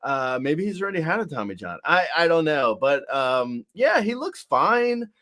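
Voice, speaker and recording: A man hosting a sports podcast, chatting casually about baseball in a halting, wryly joking way with repeated words. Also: on the high side, very animated, sharp tone.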